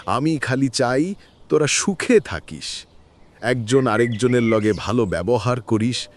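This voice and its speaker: A man's voice: mid-range voice, a little hiss or hum, natural voice. An actor playing a sorrowful, resigned old father in a cartoon, speaking plaintively with a rural Bangladeshi Bengali accent. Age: older. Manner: plaintive, reflective speechmaking. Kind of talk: melodramatic cartoon voice acting.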